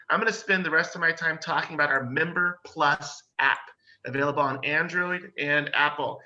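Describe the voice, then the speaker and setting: A man with a sharp, very bright voice makes a brisk promotional pitch. His voice sits slightly high, and the video call audio sounds dull and lo-fi. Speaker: business association president. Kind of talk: short networking pitch.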